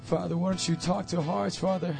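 A hoarse man leading worship, praying and exhorting a church congregation into a stage mic. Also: sits high, steady tone, a little fast, a little mellow, soft background music. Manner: urgent, exhorting calls to the crowd. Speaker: worship leader and preacher. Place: church service hall. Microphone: handheld stage mic.

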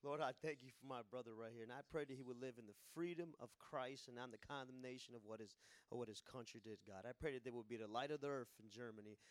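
A man's voice: medium pitch, fast talker, hardly any pauses, no room sound. Man praying earnestly aloud. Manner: earnest, repetitive prayer phrasing. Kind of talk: spoken prayer.

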